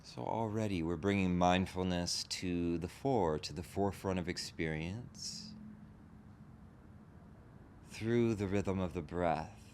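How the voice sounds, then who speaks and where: Low male voice, meditation teacher, gentle spaced phrases, guided practice, faint rumbling background noise. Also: slow pace. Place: group meditation session in a room.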